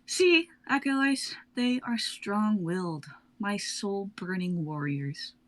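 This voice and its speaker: A woman's voice: mid-range voice, lively intonation, slow, a bit bright, rough recording. A woman voicing Bia, a haughty, scheming mentor who speaks with command.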